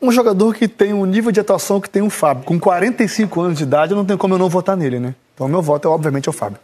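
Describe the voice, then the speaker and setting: Husky Brazilian man in his thirties. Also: high-pitched, very fast, darkish tone. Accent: Brazilian Portuguese. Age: 30s. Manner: emphatic, repeats his points. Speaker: football pundit.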